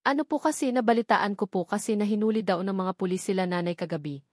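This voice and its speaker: A woman's voice: mid-range voice, fast talker, no room sound, clean studio sound, lightly acted. A woman with a Filipino accent voicing a shy, deferential heroine in an audiobook romance. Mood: hesitant, earnest. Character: shy, deferential woman, embarrassed to accept help.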